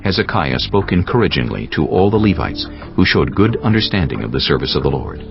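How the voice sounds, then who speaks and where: A man narrating a dramatized scripture audiobook in a measured, solemn storytelling manner. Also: extremely low pitch, big pitch swings, gravelly. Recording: dull sound with music behind. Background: prominent music.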